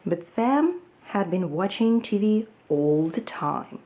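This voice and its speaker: Woman, children's story narration, slow, mellow, lively intonation, expressive. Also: mid-range voice. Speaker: storybook reader.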